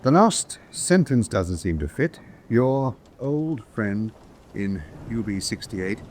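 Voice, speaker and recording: An actor playing a brisk naval officer in a radio drama, with a dry, matter-of-fact manner. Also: male voice, fairly low pitch, sing-song, darkish tone, slight background noise. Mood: dry concentration.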